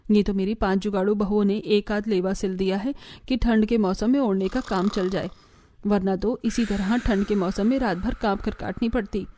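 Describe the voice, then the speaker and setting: A woman's voice: medium pitch, fairly even intonation, normal speed, dark tone, close to an everyday voice. A woman voicing a scolding older village mother-in-law who is protective and exasperated, in a family cartoon. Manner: scolding, exasperated, rustic idioms. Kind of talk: Hindi family cartoon voice acting.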